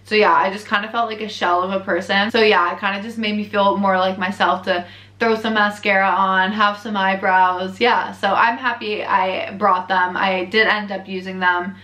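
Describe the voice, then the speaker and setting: A young woman with a Canadian accent chats freely and laughs easily, full of casual fillers. Her voice is bright and forward. It carries a noticeable room echo. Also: mid-range voice, level tone, normal speed. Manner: chatty, candid, laughs often, lots of fillers. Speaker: vlogger. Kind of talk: casual chat, reacting to her own earlier video. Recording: echoey room.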